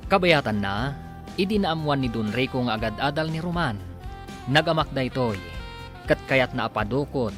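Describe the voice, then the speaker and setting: A man with a Filipino accent narrates and announces a radio drama serial in a dramatic scripted style. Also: medium pitch.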